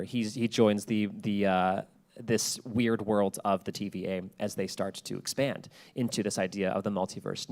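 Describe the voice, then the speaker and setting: A man presenting an informal lecture to an audience, explaining ideas and posing rhetorical questions as he goes. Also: medium pitch. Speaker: presenter giving a talk on podcast world-building.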